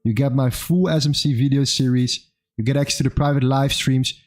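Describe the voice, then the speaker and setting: A man's voice: medium pitch, fairly even intonation, brisk. A man in his late twenties hosting a live trading stream, upbeat and casual, calling people bro and saying amazing a lot. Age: 20s. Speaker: livestream host and trader. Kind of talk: casual livestream chat and market commentary.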